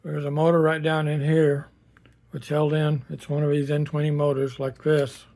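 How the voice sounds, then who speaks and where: A man giving a casual, unscripted demonstration as a hobbyist builder, plainspoken and matter-of-fact, with a halting delivery. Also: fairly high pitch, completely flat delivery, darkish tone. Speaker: hobbyist builder presenting a project.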